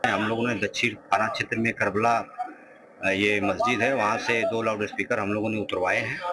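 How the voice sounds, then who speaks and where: A man's voice: lowish voice, little pitch movement, normal speed, over a noisy crowd. A man gives a formal, matter-of-fact official statement to the press. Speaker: police official. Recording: noisy on-location interview sound. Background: loud ambient noise.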